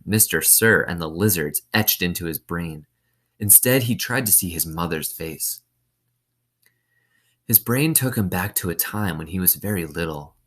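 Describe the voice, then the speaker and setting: Low-voiced man reading a story aloud. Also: a bit bright. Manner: explains simply, asks listeners questions. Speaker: reader of a children's novel. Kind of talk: read-aloud storytelling with explanatory asides.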